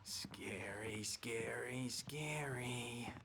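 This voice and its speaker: A man's voice: a little high, lively intonation, moderate pace, natural voice. An actor playing an unhinged, childishly menacing male mental patient in a video game, erratic, repetitive and taunting. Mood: creepy whispering. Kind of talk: dramatic video game dialogue. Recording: clear ambient noise behind.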